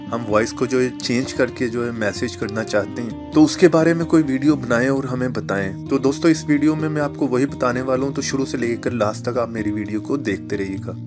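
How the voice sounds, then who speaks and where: Guy doing a casual phone app how-to, normal pitch voice, loud guitar music behind him. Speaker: host of a tech tips channel. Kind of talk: casual app tutorial narration.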